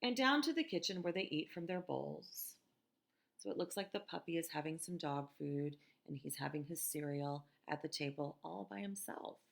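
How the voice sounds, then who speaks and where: An American woman reading a picture book aloud to children in a gentle, expressive teacher's manner, with conversational asides. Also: low-pitched, normal speed, vocal fry. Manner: gentle, expressive, asks listeners questions.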